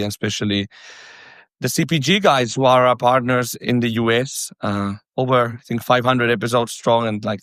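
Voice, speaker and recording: A man's voice: medium pitch, a bit bright, no room sound. A man from Catalonia with a Catalan accent, hosting a podcast in a chatty, friendly way and asking open questions. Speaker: podcast host. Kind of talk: casual interview chat.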